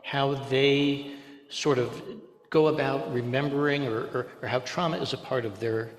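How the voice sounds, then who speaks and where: A man's voice: mid-range voice, steady tone, normal speed. A man posing a tentative, probing question to a speaker. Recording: echoey hall, long reverb.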